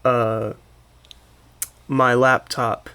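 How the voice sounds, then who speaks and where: A man's voice: medium pitch, little pitch movement, extremely slow, sharp tone, slight background noise. A man hosting a podcast, talking casually as he interviews his guest. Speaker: podcast host.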